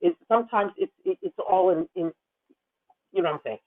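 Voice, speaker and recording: Woman in her fifties coaching singers, animated. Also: on the high side, creaky. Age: 50s. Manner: animated, sings demonstration phrases, halting restarts. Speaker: voice teacher leading a masterclass. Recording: tinny phone-like video call audio.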